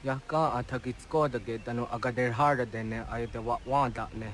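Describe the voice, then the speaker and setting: A man's voice: medium pitch, fairly even intonation, brisk, a bit bright, slight background noise, close to an everyday voice. An actor playing an earnest young village man in a video game cutscene.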